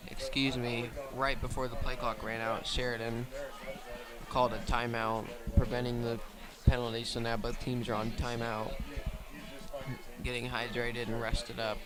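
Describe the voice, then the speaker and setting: A man giving live radio play-by-play commentary outdoors at a football game, in an even delivery. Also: mid-range voice, normal speed, loud music behind the voice. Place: outdoors at a high school football field.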